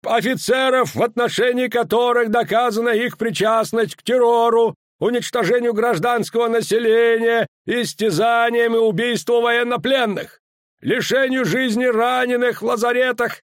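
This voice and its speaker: Guy doing a bossy imperial commander barking orders way up high, super dry sound. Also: steady tone, moderate pace, dry recording. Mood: commanding shout. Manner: shouting commands, hammering out each phrase. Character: bellowing imperial male commander, stern and overbearing.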